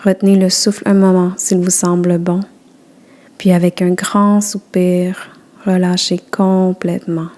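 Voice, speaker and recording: A woman guiding a yoga nidra relaxation session, giving soft, soothing instructions. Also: fairly low pitch, level tone, measured pace. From Quebec. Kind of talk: guided relaxation.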